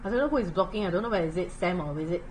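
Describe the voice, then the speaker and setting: A woman's voice: on the low side, racing, a little hiss or hum, poor audio. A woman from Singapore with a Singaporean English accent, teaching a math lesson on a livestream in a chatty manner with local particles. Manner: chatty, animated, uses local particles like lah and leh. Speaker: math tutor.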